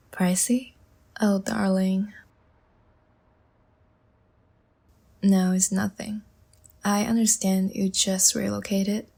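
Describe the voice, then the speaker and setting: Slow, breathy, seductive vampire woman played by an actress. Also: medium pitch. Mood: coy, inviting. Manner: soft, coaxing, slow and teasing, often breathy near-whisper. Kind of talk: intimate roleplay audio drama.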